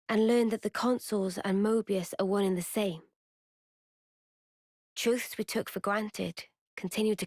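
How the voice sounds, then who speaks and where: A woman voicing a thoughtful, gentle young woman in a video game, speaking calmly and reflectively. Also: mid-range voice, no echo, clean studio sound, close to an everyday voice. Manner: calm, reflective.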